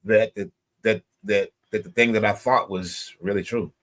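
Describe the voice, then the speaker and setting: A man, a pastor guest on a radio talk show, speaking haltingly and repeating words. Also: on the low side, fairly even intonation, fairly bright tone.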